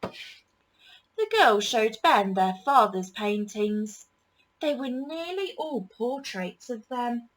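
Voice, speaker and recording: A woman storyteller reads a children's picture book at a slow pace. Her voice is fairly high and very animated, with deliberate, dramatic emphasis. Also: rough recording. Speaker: storyteller, teacher reading aloud.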